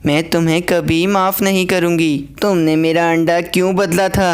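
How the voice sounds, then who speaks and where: Woman voicing a stern, clear-voiced mother crow. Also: lowish voice, little pitch movement, moderate pace, lightly acted. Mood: angry. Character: indignant mother crow, stern, protective, quick to blame. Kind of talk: children's cartoon voice acting in Hindi.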